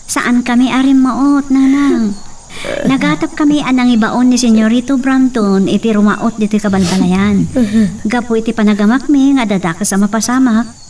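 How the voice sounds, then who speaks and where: A woman's voice: a little high, normal speed, dark tone, far from the mic, a little hiss or hum, close to an everyday voice. A woman playing an anxious but determined young daughter in an Ilocano drama, with a Filipino Ilocano accent. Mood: pleading, reassuring. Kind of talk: Ilocano radio-style drama acting.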